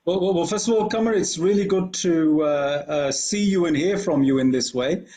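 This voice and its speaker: A man speaking as a guest on a talk show, in an earnest, halting way with frequent restarts. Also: on the high side, warm tone. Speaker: studio guest.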